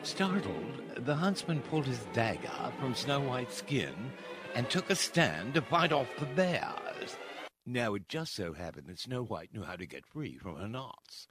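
A man narrating a dark fairy tale as a theatrical, scripted radio-play storyteller. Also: medium pitch, heavy background noise. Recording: prominent music under the voice.

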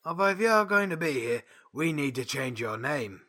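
A man's voice: a little high, lively intonation, moderate pace, bright, forceful tone. An actor playing a blunt, pompous male sergeant who runs a spy agency in an audio drama. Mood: approving, pompous.

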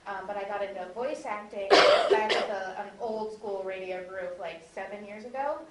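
A woman's voice: mid-range voice, normal speed, a loud crowd. A woman voice actor introducing herself informally as a panelist at a convention. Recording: echoey room, distant mic, faint noise.

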